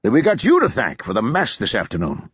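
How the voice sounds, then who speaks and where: A man's voice: mid-range voice, wildly swooping pitch, a little fast, husky, no room sound, dull audio, heavily acted. A man voicing a burly, scruffy army captain who talks bluntly and coarsely with a heavy mountain accent, in an audiobook. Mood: gruff mocking. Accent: heavy mountain accent (fictional).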